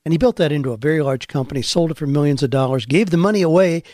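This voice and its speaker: A man's voice: on the high side, rapid-fire, darkish tone, no echo, clean studio sound. A man hosting a career-advice radio show, talking in an earnest, encouraging and conversational way. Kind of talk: podcast radio monologue.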